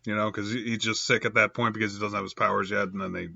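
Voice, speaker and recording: A man's voice: on the low side, level tone, fast, gravelly, bright, forceful tone, dry recording, dull audio. A man with a stuffed-up cold voice talking casually and rambling, full of fillers and self-corrections. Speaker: comic book reviewer. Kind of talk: casual improvised review.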